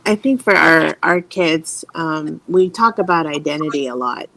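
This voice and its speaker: A woman's voice: sits low, measured pace, vocal fry, bright, forceful tone, a little hiss or hum, poor audio. A woman who grew up in the Philippines and speaks Tagalog as her first language, talking earnestly and reflectively as a guest parent over a video call, with many "you know" fillers. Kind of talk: casual panel conversation. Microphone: video call from a hotel room.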